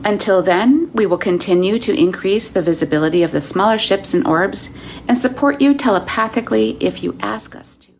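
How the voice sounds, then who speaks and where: A woman earnestly reading out a channeled message in a calm, measured way. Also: medium pitch, creaky, slight background noise. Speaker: self-styled channeler.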